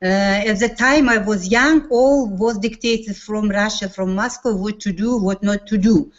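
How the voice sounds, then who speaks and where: A woman whose first language is Uzbek, a teacher, introducing herself in halting, deliberate English on a video call. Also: medium pitch. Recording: dull lo-fi call audio.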